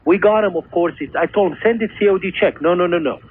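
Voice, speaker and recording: A man's voice: high-pitched, quick pace, slightly rough. A middle-aged man calling a phone-in show, telling his story in an earnest, insistent way with non-native phrasing. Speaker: caller, clothing store owner. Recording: tinny phone line with hiss.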